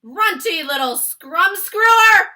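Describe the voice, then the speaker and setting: A woman voicing a huge, gruesome male giant from a children's storybook, a loud bully who booms out garbled insults. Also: expressive, slow pace, exaggerated voice. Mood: furious, insulting. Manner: booming, shouting insults in garbled ungrammatical English.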